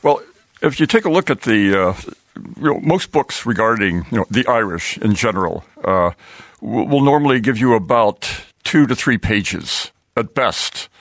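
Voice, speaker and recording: A man's voice: lowish voice, lively intonation, normal speed, fairly bright tone, dry recording. An American man, a professor guesting on a radio interview, explaining his ideas and thinking aloud as he talks. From United States.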